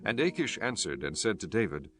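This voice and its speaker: Low voiced guy reading the bible as narrator, measured and formal, soft music underneath. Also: sing-song. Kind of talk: scripted scripture audiobook narration.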